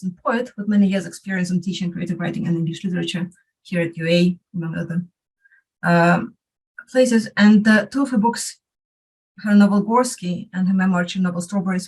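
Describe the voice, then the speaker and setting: A woman's voice: fairly low pitch, rough recording. A woman chairing an academic panel, introducing a speaker with many hesitations. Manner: hesitant, many fillers and restarts.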